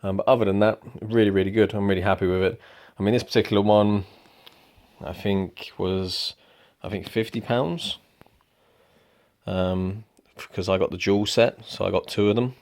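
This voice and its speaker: A young man giving a relaxed, rambling product review at home, with lots of ums. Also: fairly low pitch, moderate pace. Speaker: motorcycle vlogger. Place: indoors at home.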